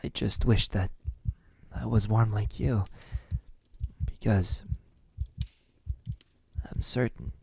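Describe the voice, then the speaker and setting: Man acting as possessive male vampire, low soft voice, dark and mellow tone, hesitant, tender, intimate ASMR roleplay. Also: steady tone, a little hiss or hum. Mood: tender, wistful. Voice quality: breathy, soft, husky. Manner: slow, hesitant, halting, tender. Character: lovesick, possessive centuries-old male vampire, gentle yet desperate. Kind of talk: intimate ASMR roleplay, often dropping into whisper.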